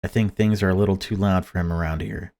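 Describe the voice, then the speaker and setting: A man's voice: sits low, fairly even intonation, fast, a little mellow. Man acting out a stern, protective mother. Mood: gentle, doting. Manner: stern, commanding. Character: fierce, protective mother, sternly commanding her family.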